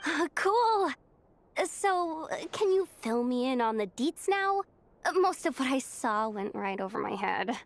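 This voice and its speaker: A woman's voice: high-pitched, big pitch swings, unhurried, exaggerated voice. A woman voicing a cheeky, eager young girl sidekick in a video game, talking in casual, bouncy slang. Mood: awkward, curious.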